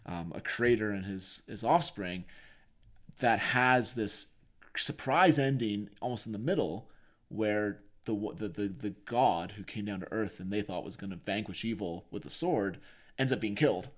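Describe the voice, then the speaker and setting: Male podcast co-host, lowish voice, reflective and explanatory, conversational delivery. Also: normal speed. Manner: reflective, explanatory, thinking aloud. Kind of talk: conversational discussion.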